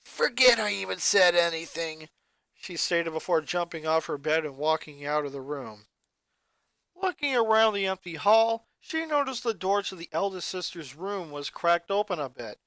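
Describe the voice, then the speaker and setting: A reader narrating a fan fiction story in an expressive, storybook way. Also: medium pitch, moderate pace, no room sound.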